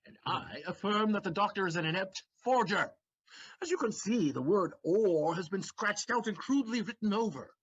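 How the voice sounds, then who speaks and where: Un actor que interpreta a un criado astuto y burlón en una obra de teatro radiofónica, declamando de forma teatral; grabación apagada y de baja fidelidad. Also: male voice, high-pitched, lively intonation, moderate pace, a bit bright, slightly rough, lo-fi sound, heavily acted. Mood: scornful. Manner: wry, theatrical declaiming with sudden laughs. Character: clever scheming young male valet Figaro. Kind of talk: community theatre audio play.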